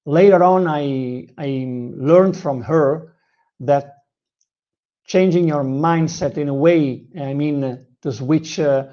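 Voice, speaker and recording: A man's voice: a little high, mellow, dull audio. A man who learned English as a second language, earnestly telling his personal learning story, with frequent fillers and restarts. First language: not English. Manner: earnest, halting with many fillers. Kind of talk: personal video narration.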